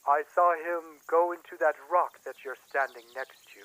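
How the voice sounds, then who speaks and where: A man's voice: a little high, fairly even intonation, moderate pace, bright, forceful tone, low-quality recording. A man voicing a fussy, timid magical bird servant, prim and indignant, in an audio drama. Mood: relieved, fussy. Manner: prissy, hesitant, indignant.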